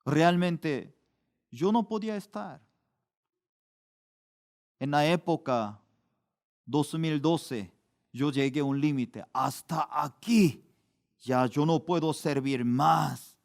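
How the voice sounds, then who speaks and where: Man preaching, slow and smooth. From Korea. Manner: slow, deliberate preaching with sudden rises in pitch and volume for emphasis. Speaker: pastor. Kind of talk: sermon.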